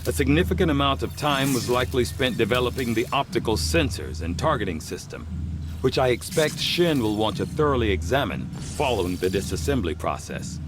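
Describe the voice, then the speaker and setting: A man's voice: medium pitch, lively intonation, brisk, over music, lightly acted. An actor playing an aloof, clinical male scientist in a video game, precise, formal and detached in his briefings. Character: aloof, clinical male alien-research scientist, coolly proud.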